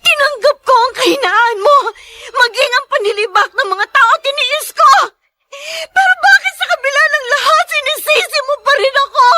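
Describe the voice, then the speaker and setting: A woman's voice: extremely high pitch, moderate pace, pushed and bright, no echo. A woman with a Filipino accent acting in a radio drama as an anguished, long-suffering woman, melodramatic and pleading. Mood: tearful anguish.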